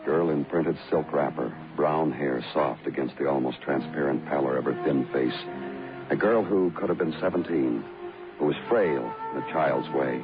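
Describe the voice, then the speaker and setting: A muffled old radio crime drama with music behind it. A hardened American police detective speaks in a deep voice, terse with suspects and moodily poetic as he narrates the city. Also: moderate pace. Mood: brooding, poetic. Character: hardened male New York homicide detective narrating his case. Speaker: lead actor and narrator.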